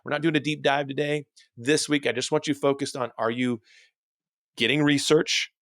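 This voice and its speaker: A male coach delivers an instructional motivational talk at a medium pitch. He stresses his key points and puts questions to the listener. The sound is dry, with very little room in it. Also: steady tone, moderate pace, fairly bright tone, no echo. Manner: instructional, emphatic, rhetorical questions. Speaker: dental practice coach and host.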